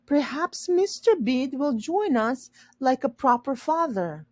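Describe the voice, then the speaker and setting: A woman's voice: high voice, very animated, slow pace, dry recording, pitched above the performer's own voice, heavily acted. A woman voicing a cheerful, proud, unworried mother in a children's storybook, with exclamatory delivery. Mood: eager.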